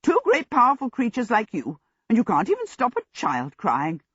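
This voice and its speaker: A woman voicing a sturdy, sensible storybook princess with a loud, rasping, contemptuous manner. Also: high voice, sing-song, dry recording, dull audio, pitched above the performer's own voice, full character voice. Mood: scornful. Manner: brisk, commanding, contemptuous. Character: sturdy outdoorsy princess, downright, sensible, scornful.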